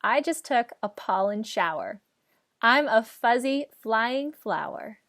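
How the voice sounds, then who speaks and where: Young woman, children's class teacher, high voice, unhurried delivery, simple instructive phrasing, encourages joining in. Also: lively intonation, a bit bright. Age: young adult. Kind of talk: children's educational lesson. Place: living room at home, indoors.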